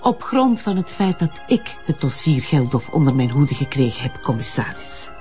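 A woman's voice: extremely low pitch, very animated, normal speed, mellow, muffled recording, faint music behind. An actress playing a stern magistrate asserting her authority, in a radio drama. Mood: firm, cool.

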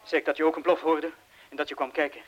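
An actor with a Flemish accent playing an anxious, protective man in a radio drama, speaking haltingly and urgently. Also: fairly high pitch, level tone, dull audio, natural voice. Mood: urgent, tender. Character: anxious, protective man urging his lover to leave. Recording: hissy old radio play recording.

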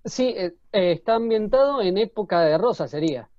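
A man speaks in a mellow, dark tone as a casual co-host. He puts clarifying questions in a conversational way. The recording is dull and lo-fi. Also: sits high, lively intonation, normal speed. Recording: muffled, roomy lo-fi audio.

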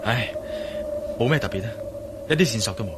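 An actor playing an earnest, preoccupied adventurer and investigator in a Cantonese radio drama, with a Hong Kong accent. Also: male voice, lowish voice, animated, brisk, heavy background noise, natural voice. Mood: guarded, considerate.

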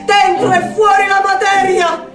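An actress playing a tormented, eccentric Neapolitan outcast haunted by spirits, in a theatrical monologue with a Neapolitan accent and incantatory, deliberate repetitions. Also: female voice, very high voice, little pitch movement. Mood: frenzied, anguished. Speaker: stage actress. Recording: echoey theatre audio, distant, music behind.

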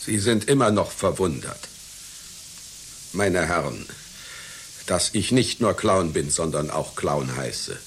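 An actor playing a pompous, proud clown with a doctorate, speaking in deliberate, formal diction in a satirical radio play. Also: male voice, deep voice, full character voice. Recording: hissy radio play recording.

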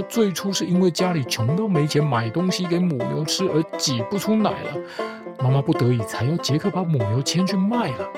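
Playful storytelling man over guitar music. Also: fairly high pitch. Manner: playful, teasing, mock-reasonable. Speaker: podcast host. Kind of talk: storytelling commentary.

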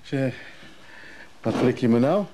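Glib brother-in-law, sardonic, casual, middle-pitched, radio drama, faintly noisy recording. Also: male voice, animated, slow, mellow. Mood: concerned, wry. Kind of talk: naturalistic Dutch radio drama acting.

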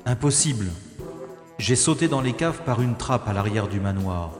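A man's voice: medium pitch, expressive, moderate pace, roomy sound, big-room sound, music in the background, lightly acted. A man playing a wry, unflappable special agent. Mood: calm. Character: special agent hero, calm and wry, narrating his own escape. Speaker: lead character and narrator. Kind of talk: first-person audio drama narration.

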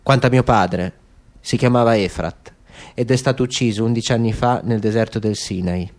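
A man's voice: on the low side, moderate pace, a little mellow, voice pushed down, natural voice. A man playing a defiant young soldier in a radio drama, speaking with clipped, peremptory firmness. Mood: defiant, shaky. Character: tall young male soldier, stiff, defiant, sharp. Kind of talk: literary radio drama acting.

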